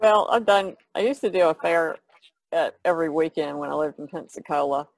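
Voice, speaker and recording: A woman's voice: low voice, fairly quick, vocal fry, no room sound, rough recording. A woman talking casually as a podcast co-host.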